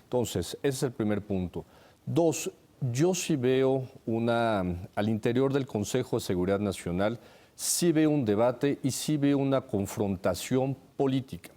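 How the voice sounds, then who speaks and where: A man's voice: mid-range voice, lively intonation, measured pace, dark tone. A man with a Mexican accent giving methodical analytical commentary as a security analyst on a television panel. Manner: methodical, enumerates his points.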